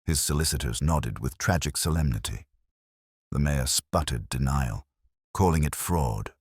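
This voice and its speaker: Very deep-voiced man narrating. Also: animated, husky, no room sound, clean studio sound. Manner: measured, literary storytelling. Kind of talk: scripted narration of a detective story.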